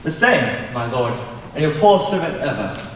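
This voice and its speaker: A man's voice: mid-range voice, sing-song, moderate pace, a bit bright. A young man playing an earnest, formal Elizabethan courtier, declaiming grandly in a stage play. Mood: formal, deferential. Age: young adult. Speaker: student stage actor. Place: large indoor school auditorium, on stage. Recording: echoey auditorium, distant mic, faint noise.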